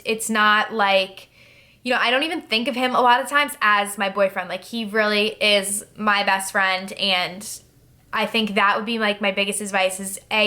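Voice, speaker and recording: Young woman vlogger in her twenties, bright voice of middling pitch, loose and chatty delivery. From New Jersey, USA. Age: 20s. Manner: chatty, rambling, many fillers and "like". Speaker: beauty vlogger. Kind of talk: casual Q&A talk to camera.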